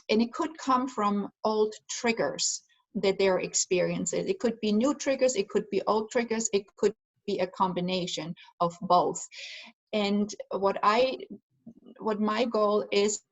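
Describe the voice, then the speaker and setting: A woman's voice: mid-range voice, poor audio. A woman from Germany, earnestly explaining her healing work as an interview guest, with frequent fillers. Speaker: guest, energy healer and aromatherapist. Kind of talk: conversational interview answers.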